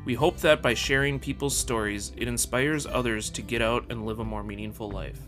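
A man's voice: mid-range voice, steady tone, a little fast. A man reading a scripted podcast introduction in a friendly, promotional way. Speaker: podcast producer. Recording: voice over guitar music. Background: guitar music.

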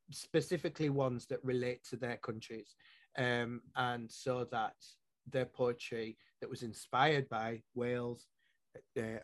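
A man's voice: medium pitch, dry recording. A man from Yorkshire with a Yorkshire accent, a poet talking in an interview in a thoughtful, rambling way with frequent restarts. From Yorkshire, England. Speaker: poet and publisher. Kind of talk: interview conversation.

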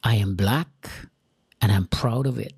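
Slow, husky Jamaican-accented man. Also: lowish voice, darkish tone, no echo. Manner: slow, deliberate and earnest, with emphatic repetition. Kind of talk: motivational direct-to-camera talk.

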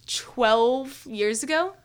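Young woman, kinda hoarse, rambling story w lots of likes and ums. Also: a little high, drawn-out words. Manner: chatty storytelling, many fillers. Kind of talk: casual chat.

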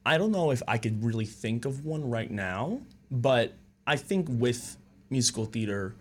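A young man, a musical theatre student, speaking as a radio guest in a relaxed, halting way full of fillers. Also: mid-range voice. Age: young adult. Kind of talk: casual radio interview chat.

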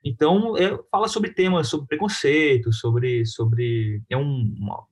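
A man with a Brazilian accent talking informally and haltingly in an interview about his own work, often adding little tag questions. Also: medium pitch, breathy edge. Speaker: film director being interviewed.

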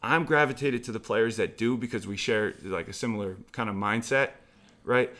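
A man's voice: medium pitch, normal speed, a bit bright. A man who trains young basketball players, talking casually on a podcast and telling stories with emphatic repetition. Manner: emphatic, storytelling, repeats phrases for stress.

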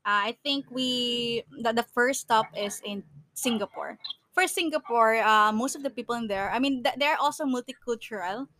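A woman's voice: on the high side, moderate pace, bright, forceful tone. A woman from the Philippines with a Filipino accent, co-hosting a podcast in casual chat, laughing often and restarting her sentences. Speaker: podcast co-host.

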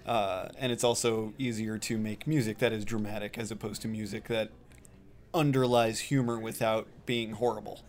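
A man's voice: fairly low pitch, fairly even intonation, moderate pace. A man speaking as a composer, giving casual, modest and thoughtful answers as an interview guest.

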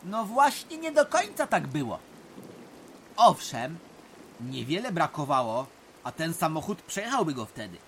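An actor playing an uncle who narrates a village legend in a dramatic audio drama style. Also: male voice, fairly high pitch, wildly swooping pitch, unhurried, noisy background, heavily acted. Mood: correcting. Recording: rain pattering behind the voice. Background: rain.

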